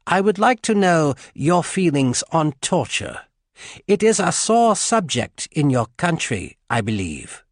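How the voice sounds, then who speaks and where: Brief: man voicing a smooth, cultured officer in his forties with a faint British accent, raised and lively delivery, audiobook. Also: a little high, unhurried, no echo, studio quality, pitched above the performer's own voice. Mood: suave, probing. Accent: slight British. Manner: smooth, polite, menacing. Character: cultured middle-aged male military interrogator.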